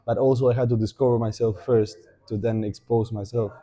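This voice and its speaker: A young man with an Argentine Spanish accent, Spanish as his first language, talking as a podcast guest in a thoughtful way, pausing to think and often restarting his sentences. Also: medium pitch, fairly even intonation, mellow. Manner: reflective, thinking aloud with many fillers and restarts. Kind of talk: casual podcast conversation.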